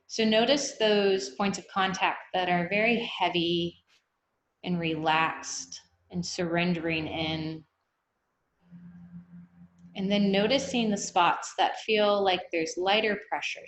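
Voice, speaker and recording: A woman guiding a meditation, speaking in an unhurried, gently instructive way with long pauses. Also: on the low side, slight background noise. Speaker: meditation guide. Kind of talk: guided meditation, body scan. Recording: echoey room.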